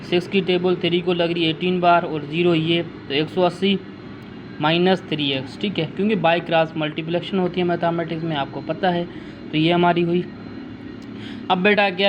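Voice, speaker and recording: A man's voice: on the high side, noisy background. A man, patiently explaining an entrance test maths question step by step to his students. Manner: patient, repeats steps and addresses students as dear child. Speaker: maths teacher.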